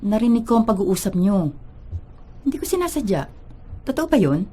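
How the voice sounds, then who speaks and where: A woman's voice: medium pitch, very animated, normal speed, dark tone, a little hiss or hum, natural voice. A woman playing a caring, protective middle-aged mother with a Filipino accent in a radio drama. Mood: firm, probing.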